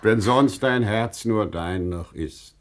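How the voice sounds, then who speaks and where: An actor playing a wise, patient older merchant and father in a classical German radio play, speaking in a measured, declamatory stage manner. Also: on the low side. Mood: tender, soothing.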